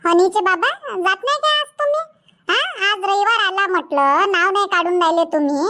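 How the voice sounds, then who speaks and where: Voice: extremely high pitch, normal speed, sharp tone. A performer voicing a complaining, reproachful village wife and mother in a cartoon comedy, with a Varhadi Marathi accent.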